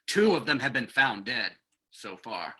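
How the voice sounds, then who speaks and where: A man's voice: medium pitch, big pitch swings, a little slow, sharp tone, breathy edge, full character voice, low-quality recording. A man playing an earnest, practical government security agent in an old-time radio drama. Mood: earnest, grim.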